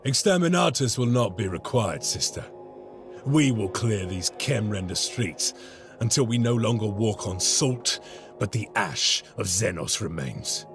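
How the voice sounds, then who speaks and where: A gravelly space marine lieutenant commands with zealous formality. His low voice swings widely in pitch, stern and declamatory in video game style. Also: expressive, measured pace. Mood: stern, resolute. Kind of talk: video game cutscene voice acting. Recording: game music behind the voice.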